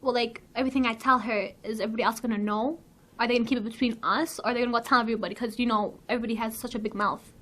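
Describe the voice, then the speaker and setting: A woman's voice: a little high, steady tone, very fast, fairly bright tone. A young woman acting a wary, reluctant schoolgirl in an amateur role-play, with short, doubtful replies. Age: young adult. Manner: short, doubtful answers and questions. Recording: distant voice, clear background noise.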